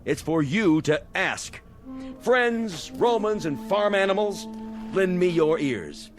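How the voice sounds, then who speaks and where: Menacing, mocking major, very animated delivery, slangy and commanding, dramatic score underneath. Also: male voice, sits high, slow, music in the background. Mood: sneering. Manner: sarcastic, theatrical, slangy, barking orders. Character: mocking, domineering military major, cynical and menacing.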